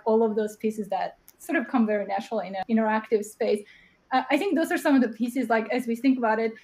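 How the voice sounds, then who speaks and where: A young woman with a high voice, answering as a panelist on a video call, earnest and thinking aloud, often tagging her points with "right?". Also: dark tone. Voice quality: high-pitched voice. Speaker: panelist, platform co-founder. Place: home room. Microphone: webcam on video call.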